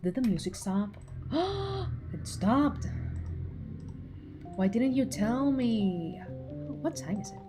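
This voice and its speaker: A woman's voice: on the high side, sing-song, slow, pauses often, music in the background. A woman in her twenties chatting casually with her livestream viewers, teasing and full of filler words. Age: 20s. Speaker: animator streaming to chat.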